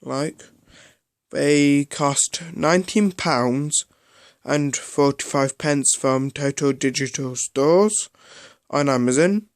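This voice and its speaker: A man giving a casual, unscripted product review in a halting way, often repeating himself. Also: a little high, dry recording, studio quality.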